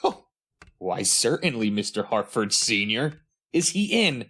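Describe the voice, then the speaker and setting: An actor playing an excitable, power-hungry madman in a halting, melodramatic 1930s radio drama style. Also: medium pitch. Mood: bewildered. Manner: melodramatic, halting, breathless exclamations. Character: excitable, power-hungry madman narrating his eerie tale.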